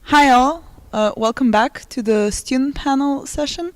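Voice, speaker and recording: A woman's voice: sits high, unhurried. A woman hosting a student panel in a casual, appreciative and encouraging presenting style.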